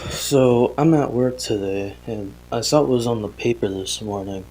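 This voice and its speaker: A man playing an earnest young father recording a confessional audio diary in a horror drama, speaking haltingly and hesitantly. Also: mid-range voice, warm tone, slight background noise, lightly acted, low-quality recording. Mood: uneasy, shaken. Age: young adult. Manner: halting, hesitant, sighing, self-interrupting.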